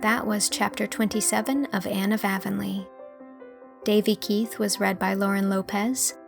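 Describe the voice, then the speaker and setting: Creaky-voiced woman narrating a storybook. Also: mid-range voice, fairly even intonation, normal speed, over music. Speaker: audiobook narrator and producer.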